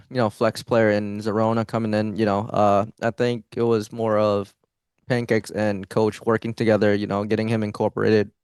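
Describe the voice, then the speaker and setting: A man's voice: lowish voice, darkish tone, clear, resonant tone, no room sound, clean studio sound. A young man, a pro esports player, answering interview questions in a hesitant way, with many fillers and restarts. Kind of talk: post-match interview answers.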